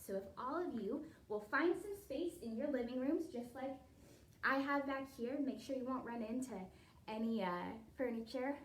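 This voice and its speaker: High-voiced woman teaching children, distant and roomy. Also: normal speed, a little hiss or hum. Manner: encouraging, instructive, addressing children directly. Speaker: children's ballet teacher and storytime host. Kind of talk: storybook reading and guided stretch class for young children. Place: living room at home. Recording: roomy, distant home recording with loud music.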